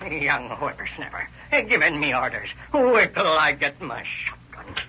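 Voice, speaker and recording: An actor playing an excitable, folksy old rancher who stammers, in 1930s radio drama style. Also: male voice, a little high, measured pace, husky, full character voice. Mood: cranky defiance. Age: older. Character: frightened, indignant old male rancher. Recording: muffled vintage radio recording.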